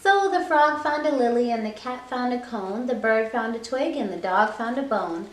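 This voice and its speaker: A woman hosting a children's storytime, reading a picture book aloud with an expressive, rhythmic rhyming delivery. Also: on the high side, moderate pace. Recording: echoey room.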